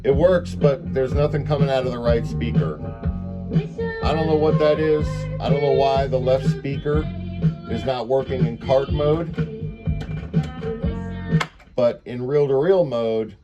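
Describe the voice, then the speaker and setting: Male presenter, mid-pitched, informal and halting, unscripted hands-on gear demo with music at times. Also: muffled recording. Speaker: presenter demonstrating vintage audio equipment. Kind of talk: casual unscripted product demonstration.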